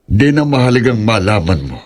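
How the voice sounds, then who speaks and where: A man acting an earnest, principled older ritualist in a horror radio drama, speaking in a husky, pleading manner. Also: lowish voice, animated, brisk, natural voice. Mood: reproachful.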